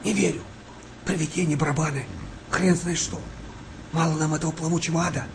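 A man's voice: fairly high pitch, fairly even intonation, a little fast, vocal fry, slight background noise. Blunt, steadying wartime seaman. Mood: irritated. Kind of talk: Russian radio play acting.

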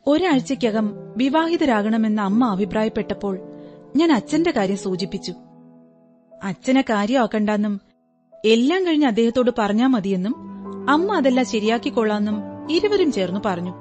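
Woman playing a high-voiced, earnest young bride-to-be. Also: animated, moderate pace, a little mellow, natural voice. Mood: earnest recounting. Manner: earnest, polite, detailed recounting. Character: earnest, devoted, trusting female client, young bride-to-be. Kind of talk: radio drama acting. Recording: radio drama with background music. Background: music.

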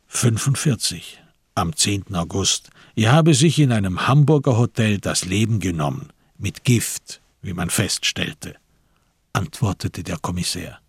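A man reading literary narration for a radio play in a measured storytelling manner. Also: low voice, expressive, breathy edge, no echo, clean studio sound.

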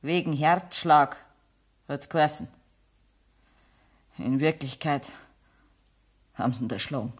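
A woman's voice: low-pitched, slow pace, pauses often, breathy edge, exaggerated voice. An actress playing a bitter, careworn rural mother with a Bavarian accent in a radio play, plain-spoken and aggrieved. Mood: bitter, grieving. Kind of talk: German radio play acting in dialect.

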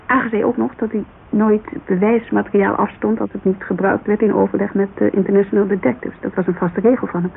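A woman's voice: mid-range voice, quick pace, vocal fry, hardly any pauses, natural voice. A woman playing a chatty, loyal businesswoman giving a witness account in a naturalistic radio drama, telling it informally with digressions. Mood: earnest, loyal. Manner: informal, digressive, animated storytelling. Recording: muffled, hissy old radio recording.

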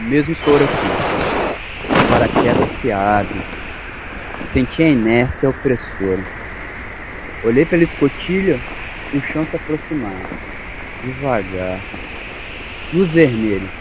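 A man's voice: mid-range voice, animated, measured pace, pauses often, close to an everyday voice. Man acting as a cynical Brazilian soldier, noisy backdrop. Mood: tense. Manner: sardonic, colloquial, swearing. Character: cynical, nervous, foul-mouthed male soldier piloting giant war machine. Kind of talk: first-person audio drama narration. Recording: clearly noisy, engine and outdoor ambience.

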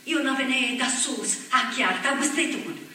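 An actress playing a young wife talking to her husband abroad in a rushed, tender, colloquial way, recorded on a stage. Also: high-pitched, sharp tone. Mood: tender, anxious. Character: young emigrant's wife, plainspoken, homesick. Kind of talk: stage monologue for radio. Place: theatre stage. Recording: echoey stage from far off.

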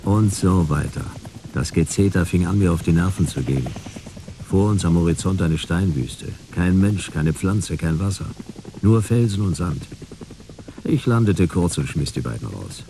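An actor playing a wry, jaded private detective in a noir radio play, laconic and sardonic in clipped sentences. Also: male voice, low voice, animated, normal speed, noisy background. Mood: dry, sardonic. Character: jaded, wry male private detective narrating his case.

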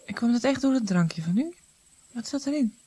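An actress playing a curious, gentle granddaughter in a radio drama, soft and questioning. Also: female voice, a little high, expressive, fairly quick, breathy edge.

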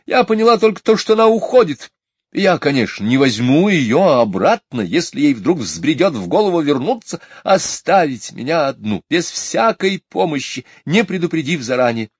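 A man voicing a fussy, indignant mother in a storybook reading, in a high, shrill falsetto. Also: very animated, normal speed, slightly rough, no echo, clean studio sound. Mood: scolding. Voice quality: high falsetto, pitch far above his own. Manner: exclaiming, offended, scolding.

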